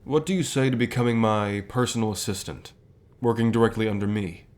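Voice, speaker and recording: A man playing a calm, encouraging company boss in an ASMR roleplay, speaking in a measured, unhurried way with pauses. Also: on the low side, close to an everyday voice. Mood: warm, sincere. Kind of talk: ASMR roleplay, softly acted one-sided dialogue.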